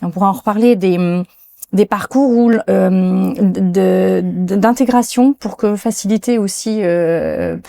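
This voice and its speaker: A woman's voice: mid-range voice, big pitch swings, unhurried, gravelly, dark tone, no echo. A woman being interviewed as a company executive, speaking in a hesitant way with many fillers and restarts, recorded in a restaurant. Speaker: guest executive. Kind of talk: interview conversation. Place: restaurant in Paris.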